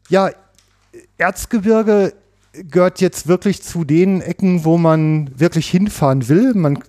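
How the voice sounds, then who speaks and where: Mellow-voiced man hosting a podcast. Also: a little high. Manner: hesitant, many fillers, rambling. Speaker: podcast host. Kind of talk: casual hunting podcast interview.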